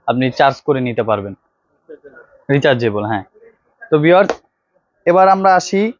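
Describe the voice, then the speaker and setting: A man with a Bangladeshi Bengali accent, a shop salesman showing products to viewers in a loose, repetitive sales pitch full of filler sounds, on a clip-on mic. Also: no echo, muffled recording. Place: computer accessories shop. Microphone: clip-on tie microphone.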